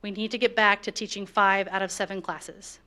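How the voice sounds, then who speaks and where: A woman speaking for the teachers' union, reading a prepared presentation in a board of education meeting room in a steady, measured, firm way. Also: medium pitch.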